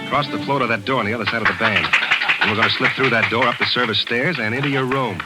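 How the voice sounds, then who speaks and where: A wry, confident American newspaperman, played by a man, speaking briskly in a mid-range voice. Classic radio drama with loud music behind him. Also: mid-range voice, natural voice, poor audio. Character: wisecracking American newspaperman on trial. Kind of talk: 1940s radio acting.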